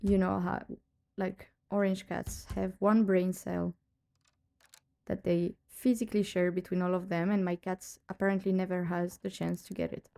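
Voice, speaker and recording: Woman with a cold, gravelly and mellow. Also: on the low side, level tone, normal speed. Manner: chatty, rambling, laughs often. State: has a cold, voice lowered. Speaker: streamer playing a detective game. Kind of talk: casual live-stream chat.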